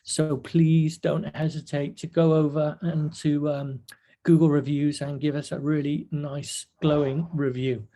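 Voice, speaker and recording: Hoarse male webinar host, slightly high, flat delivery, halting with fillers, dark tone. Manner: halting, many fillers and restarts. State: hoarse, nearly losing his voice. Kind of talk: casual webinar talk. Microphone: webcam video call.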